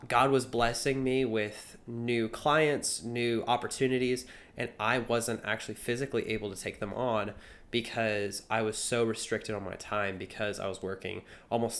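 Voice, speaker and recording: A man of about twenty with a slightly low voice. He talks casually to camera like a vlogger, earnest and a bit rambling as he shares his thoughts. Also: fairly bright tone. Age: 20 years old. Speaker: vlogger and young entrepreneur.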